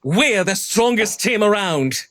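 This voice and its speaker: A confident young male rival from a video game. He pitches his voice high and swings it around a lot, sounding showy, cocky and challenging. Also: lively intonation, slow, a bit bright, full character voice.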